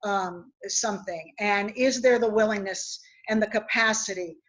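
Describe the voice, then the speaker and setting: A woman's voice: medium pitch, normal speed, fairly bright tone. A woman from West Virginia, an executive coach speaking in a webinar, explaining her points earnestly through anecdotes. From West Virginia, USA. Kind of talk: webinar discussion. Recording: dull lo-fi webinar audio.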